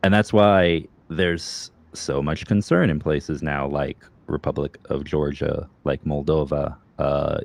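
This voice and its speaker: Very deep-voiced male interview host. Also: vocal fry. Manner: long explanatory answers with frequent fillers. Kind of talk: casual video-call interview.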